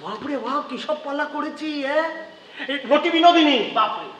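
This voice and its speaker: A man's voice: extremely high pitch, moderate pace, fairly bright tone, dull audio. A man acting a nostalgic, exclamatory character in a stage play. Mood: wistful, marvelling. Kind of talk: theatrical Bengali stage acting. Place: theatre stage. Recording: echoey hall from far off, noisy.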